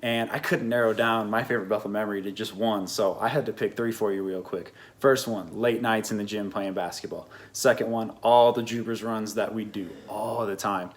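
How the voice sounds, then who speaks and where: A man's voice: lowish voice, steady tone, normal speed, few pauses. A young man from Washington state introducing himself with playful enthusiasm as a graduating student. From Washington state, USA. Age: young adult. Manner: enthusiastic, playful, bro-ish. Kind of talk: recorded self-introduction.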